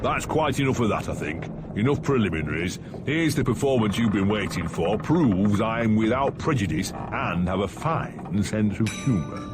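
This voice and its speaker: A man's voice: fairly low pitch, lively intonation, moderate pace, close to an everyday voice. A man voicing a pompous, ghoulish, gluttonous monster showman who declaims morbid verse in a video game. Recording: loud music under the voice. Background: loud music.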